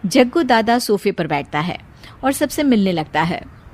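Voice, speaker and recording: A woman's voice: mid-range voice, big pitch swings, normal speed, a little mellow. A woman narrator reading a scripted story.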